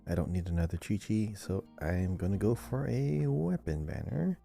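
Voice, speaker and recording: A man's voice: lowish voice, expressive, normal speed, gravelly, dark tone, faint music behind. A man co-hosting a casual gaming podcast, chatting and reading listener messages with chatty asides. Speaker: podcast co-host.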